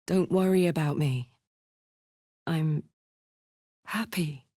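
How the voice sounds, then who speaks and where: A woman playing a brash, warm-hearted, tough tiefling warrior in a video game, speaking in a blunt, slangy, heartfelt way. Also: low-pitched, slow, no room sound, clean studio sound. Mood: sincere, easygoing. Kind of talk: video game character voice acting.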